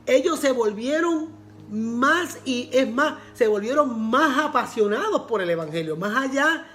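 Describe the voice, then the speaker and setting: A man's voice: extremely high pitch, lively intonation, unhurried, pushed and bright. A man with a Puerto Rican accent, preaching a sermon as pastor and repeating phrases for emphasis. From Puerto Rico.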